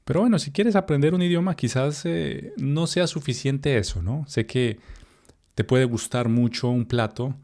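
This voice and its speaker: A young man from Colombia with a Colombian Spanish accent, a Spanish teacher reading a text for learners in a relaxed, chatty way, with fillers and asides to the viewer. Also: medium pitch, normal speed.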